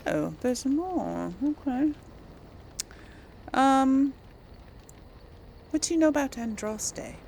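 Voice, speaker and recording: A woman's voice: sits high, big pitch swings, takes it slowly, gravelly, long pauses, darkish tone, slight background noise. A woman giving casual let's play commentary as she role-plays through a video game. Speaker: gameplay streamer role-playing a character.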